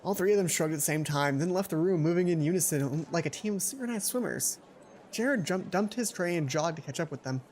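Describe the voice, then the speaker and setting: A man's voice: a little high, lively intonation, fairly quick, a little mellow. A man in his twenties reading a visual novel aloud as a let's play host, with casual commentary between lines. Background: game music.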